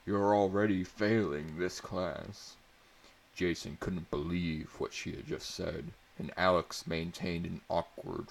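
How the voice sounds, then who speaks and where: A man narrating a story as a dramatic reading, in a measured, theatrical manner. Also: extremely low pitch, gravelly.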